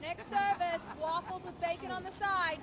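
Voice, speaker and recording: A woman's voice: very high voice, steady tone, moderate pace. A woman playing a friendly, chatty diner waitress in a video game, familiar and obliging with customers. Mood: friendly, casual. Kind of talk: naturalistic game acting.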